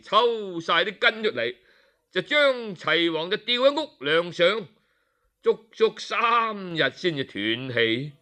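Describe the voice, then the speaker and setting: A man narrating a historical tale in traditional oral storytelling style, with a measured, dramatic delivery. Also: high-pitched, pushed and bright.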